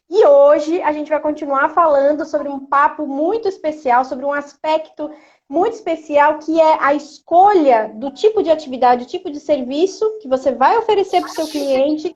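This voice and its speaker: A Brazilian woman with a high voice hosts a live online chat, heard through slightly dull video-call audio. She explains things in a friendly way, often checking in with little tag questions. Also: darkish tone. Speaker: host. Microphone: video call with headset.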